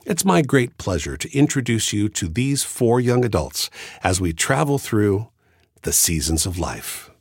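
A man hosting a podcast, reading a measured, thoughtful scripted introduction. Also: on the low side, big pitch swings, slightly rough, no room sound, clean studio sound. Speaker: podcast host. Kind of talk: scripted reflective narration.

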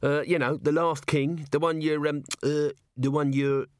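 A man's voice: a little high, little pitch movement, unhurried, clear, resonant tone, no echo, clean studio sound, pitched above the performer's own voice, close to an everyday voice. A man voicing a nervous, shifty little male corporal who stammers and hedges, peppering his talk with "sir". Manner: stammering, hesitant, full of "sir"s. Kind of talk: audiobook character voice.

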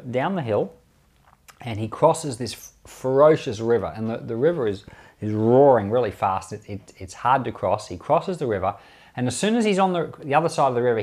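A man's voice: mid-range voice, darkish tone. A man speaking as a pastor, giving informal Bible commentary with many restarts and closing in earnest prayer.

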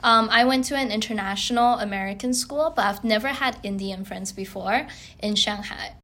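A woman's voice: medium pitch, normal speed, bright, forceful tone. A young woman from China, a college student hosting a podcast, reading her intro in a plain, slightly slipping way. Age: young adult. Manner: plain, read-aloud delivery with small slips. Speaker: podcast co-host. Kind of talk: semi-scripted podcast intro.

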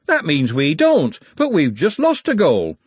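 A man's voice: fairly high pitch, wildly swooping pitch, measured pace, breathy edge, no echo, voice pushed up, heavily acted. A man voicing a petulant, aggrieved man complaining that the match rules are unfair, in an audiobook. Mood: indignant. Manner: whiny and aggrieved.

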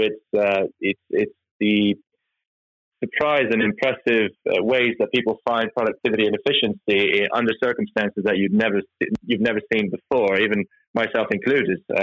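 A man from Norway answers interview questions about running his company, stopping often to restart his sentences. His voice sits fairly low. The sound is dull and muffled, with no room echo. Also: fairly bright tone. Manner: halting, many restarts and fillers. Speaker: company chief executive, guest.

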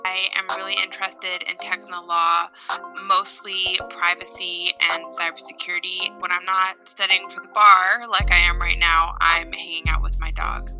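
A young woman from Hawaii co-hosting a podcast, clearly explaining things step by step. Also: fairly low pitch, flat delivery, normal speed, over music. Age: young adult. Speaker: podcast co-host.

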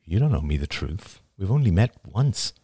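Man acting as grave male speaker, commanding, deliberate, deep, dry close recording, audiobook. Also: very animated, warm tone, breathy edge, no room sound, exaggerated voice. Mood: grave, gentle. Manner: solemn, deliberate warnings, barking when roused.

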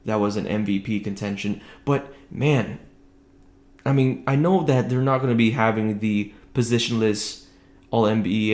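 A man with a fairly low voice hosts a casual solo sports podcast. He talks conversationally, holding his volume down as if others are asleep nearby. The home recording has a clearly echoey, roomy sound. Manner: conversational, keeping voice low late at night. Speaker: podcast host. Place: indoors at home, echoey room.

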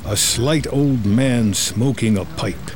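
A man's voice: mid-range voice, slow, noisy background. A man reading scripted screenplay narration as the narrator of a drama.

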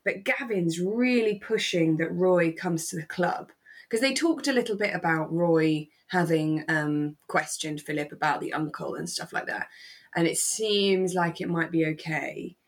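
A woman's voice: lowish voice, unhurried. A woman co-hosting a podcast, chatting casually about a radio drama. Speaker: podcast co-host.

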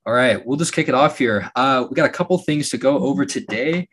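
A young man from Alaska hosting a podcast, telling stories in a relaxed way with lots of fillers and a slightly raspy voice. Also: medium pitch, brisk. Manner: relaxed storytelling with frequent fillers and laughs. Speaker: podcast host. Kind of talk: casual podcast chat.

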